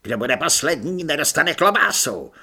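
A man voicing a loud, bossy army corporal barking wake-up orders. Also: very high-pitched, expressive, husky, pitched above the performer's own voice, heavily acted. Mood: bellowing. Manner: shouting orders.

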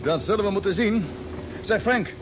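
An actor playing a calm, authoritative space expedition captain in an old radio drama, giving measured orders. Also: male voice, lightly acted. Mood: sober, steady. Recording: noisy old radio drama, vehicle rumble.

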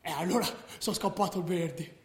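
A man's voice: sits high, a little mellow, lightly acted. An actor playing a restless, unrepentant young delinquent football fan, telling his story colloquially and matter-of-factly in a radio drama. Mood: matter-of-fact bravado. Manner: colloquial, matter-of-fact, halting in places. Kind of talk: radio drama confessional monologue. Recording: echoey room sound.

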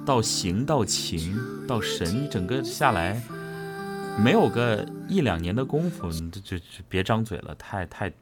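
Man, host from Henan, lively pitch swings, casual emphatic chat, repeats himself, music behind. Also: a little slow. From Henan, China. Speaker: host and voice actor. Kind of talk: casual chat commenting on an audio drama. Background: audio drama and music playing.

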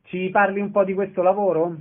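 Male radio presenter, slightly high voice, interviewing and announcing, phone-like narrow sound. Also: little pitch movement, brisk, slight background noise. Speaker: radio host.

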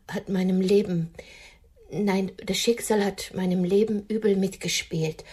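An actress playing a nervous, lonely aging woman in a radio drama, melodramatic and theatrical, at times dropping into a breathy whisper. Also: mid-range voice, mellow, close to an everyday voice. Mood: melodramatic, pleading. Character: nervous, lonely aging woman rehearsing romantic lines for blind date.

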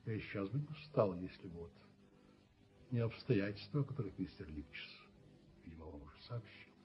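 Radio drama role: stern rich landlord and proud father, husky mid-range voice, formal and weighty, dull old recording. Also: low voice, lively intonation, brisk, exaggerated voice. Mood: cold, formal. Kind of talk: Soviet radio play acting.

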